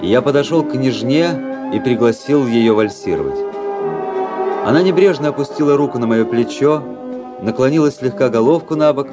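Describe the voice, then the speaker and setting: An actor playing a cool, courtly young officer in a radio play, speaking with measured, polished politeness. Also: male voice, medium pitch, lively intonation, over loud music, lightly acted. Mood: reflective, sensual. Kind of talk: radio play narration and dialogue.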